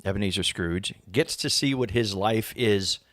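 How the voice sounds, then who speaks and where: Halting, low-voiced man explaining things. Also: a bit bright, no echo, studio quality. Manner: halting, searching for words. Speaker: actor being interviewed. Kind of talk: casual, explanatory interview talk.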